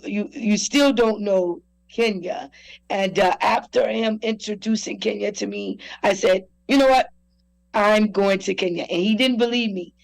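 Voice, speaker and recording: A woman, from South Carolina, telling her story as a guest on a talk show, relaxed, with many fillers. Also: medium pitch, vocal fry, rough recording. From South Carolina, USA. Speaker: guest entrepreneur. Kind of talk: interview storytelling.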